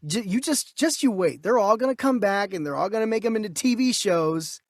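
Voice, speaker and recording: A man's voice: high-pitched, lively intonation, a little fast, no echo, clean studio sound. A man co-hosting a casual movie news discussion, giving opinions emphatically and repeating himself to drive points home. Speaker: movie news podcast co-host.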